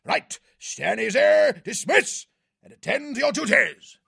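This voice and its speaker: A man voicing a bossy military hare sea-captain in a storybook, with a raspy British bark and pompous bluster. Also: very high voice, big pitch swings, a little slow, dry recording, studio quality, voice pushed up, exaggerated voice. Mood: brisk command. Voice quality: raspy, gravelly shout.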